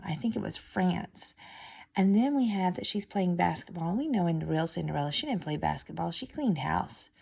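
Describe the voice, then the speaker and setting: A woman with a noticeably creaky voice and middle pitch reads aloud like a teacher. She delivers story narration and simple explanatory asides for students. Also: a little mellow.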